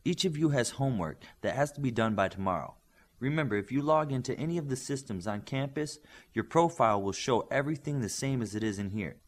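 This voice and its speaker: Brisk teacher woman, read by a man. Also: medium pitch, animated, natural voice. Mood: instructive.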